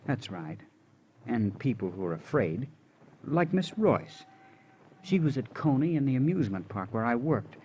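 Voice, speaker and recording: An actor playing a gentle, devoted young drifter in old radio drama, talking simply, earnestly and hesitantly. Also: male voice, medium pitch, sing-song, normal speed, muffled recording, natural voice. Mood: earnest, halting. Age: young adult. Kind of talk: 1940s-50s radio drama acting. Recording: noisy vintage radio recording.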